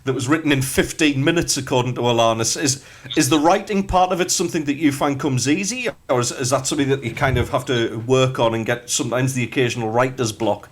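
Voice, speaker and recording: A man's voice: mid-range voice, fast talker. A man in his forties hosting a radio show, chatting casually with playful, mock-indignant banter. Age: 40s. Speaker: radio host.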